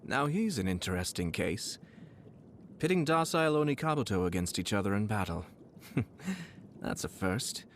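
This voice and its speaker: A man voicing a composed, courtly young clan lord in a video game, polite and measured, with a slyly teasing, quietly amused manner. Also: fairly low pitch, very animated, slight background noise. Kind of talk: scripted video game character lines. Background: background music.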